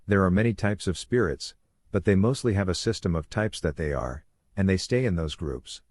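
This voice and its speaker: A man voicing a calm, authoritative werewolf pack leader who lectures in a measured, instructive way for a fantasy audiobook. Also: low-pitched, no echo, clean studio sound, pitched below the performer's own voice. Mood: solemn instructive.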